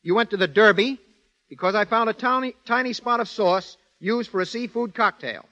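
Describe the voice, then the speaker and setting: A man gives a prepared, explanatory talk on 1930s radio. His voice is high and bright with precise delivery, and the recording is dull and lo-fi. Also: moderate pace. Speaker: guest detective.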